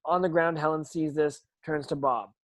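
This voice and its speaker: A man reading stage directions at an amateur table read, halting and stumbling over words as he narrates. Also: a little high, fairly even intonation, dry recording.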